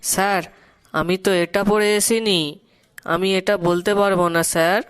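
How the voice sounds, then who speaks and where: A man voicing a dim, earnest young schoolboy in a Bengali cartoon, in a high squeaky voice with a Bengali accent. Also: fairly even intonation, moderate pace, a bit bright, smooth. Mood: eager. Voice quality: high squeaky put-on child voice.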